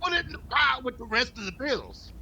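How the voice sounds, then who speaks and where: A man's voice: extremely high pitch, sing-song, quick pace, sharp tone, highs cut off, full character voice. A man playing a wisecracking, irreverent valet in 1940s radio comedy, with dry, sassy back-talk. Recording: very noisy radio play recording.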